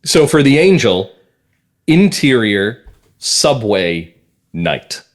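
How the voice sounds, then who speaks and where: A man speaking as a writer being interviewed, reflective and earnest, setting out his views in deliberate, emphatic phrases. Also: mid-range voice, big pitch swings. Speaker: playwright and audio drama writer, interview guest.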